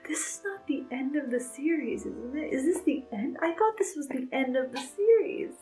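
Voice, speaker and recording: A woman's voice: sits high, expressive, moderate pace, mellow, faint music behind. A young woman in a casual read-along vlog, talking emotionally about a book she is reading, with lots of "like". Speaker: book vlogger.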